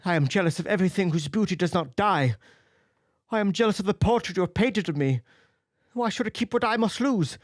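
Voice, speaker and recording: A man's voice: sits high, expressive, fairly quick, no echo, studio quality, pitched above the performer's own voice. A man reading an audiobook, voicing a vain, anguished young man who pleads and rises to emotional outbursts.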